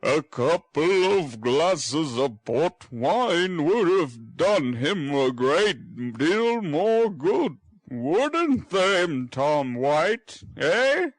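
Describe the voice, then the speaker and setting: A man voicing a kindly, fussy old gentleman with a slightly hoarse voice, in a storybook reading. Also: a little high, sing-song, extremely slow, no echo, pitched above the performer's own voice, exaggerated voice.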